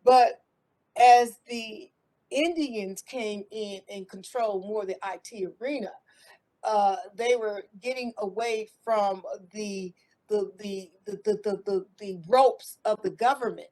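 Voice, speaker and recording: A woman's voice: mid-range voice, measured pace, dark tone, rough recording. A middle-aged American woman talking in a casual interview, rambling and restarting with repeated words. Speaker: guest, former IT contractor.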